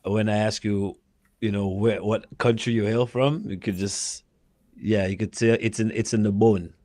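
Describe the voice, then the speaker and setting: Low-voiced male podcast host, dry sound. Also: fairly even intonation, mellow, no echo. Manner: encouraging, quick affirmations. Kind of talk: casual interview chat.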